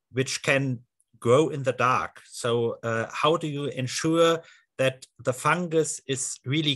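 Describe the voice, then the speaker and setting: A man with a German accent speaks at a medium pitch. He is a judge talking off the cuff on a video call, halting and hesitant as he frames his points. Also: fairly bright tone. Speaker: competition judge. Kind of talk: unscripted panel questioning.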